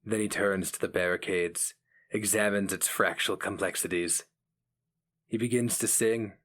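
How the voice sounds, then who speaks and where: A man giving a solemn, theatrical and deliberate dramatic reading of a fantasy story. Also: deep voice, fairly even intonation, fairly bright tone. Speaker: narrator.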